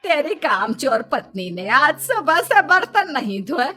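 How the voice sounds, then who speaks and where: A woman's voice: sits high, sing-song, measured pace, sharp tone, soft background music, full character voice. A woman voicing a scolding, threatening Indian mother-in-law in a children's cartoon. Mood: angry, complaining. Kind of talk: Hindi children's cartoon dubbing.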